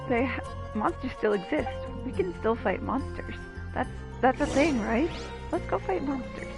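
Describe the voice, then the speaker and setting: A woman's voice: high-pitched, lively intonation, normal speed. A woman giving casual, wry commentary as she plays a game. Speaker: game streamer. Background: game music.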